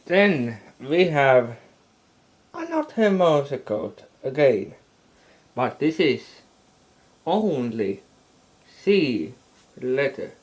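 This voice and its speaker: A man informally presenting a radio hobby demonstration, talking haltingly in short phrases with long gaps. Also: medium pitch, sing-song.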